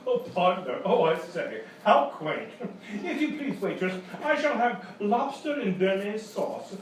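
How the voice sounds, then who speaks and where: A fussy, genteel dandy played by a man speaks with affected, snobbish politeness and animated intonation. It is sketch comedy recorded from afar in a very echoey space, with faint noise behind. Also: sits high, a little slow. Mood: delighted, fussy. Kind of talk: live radio sketch comedy acting. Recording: very echoey live stage, distant, faint noise.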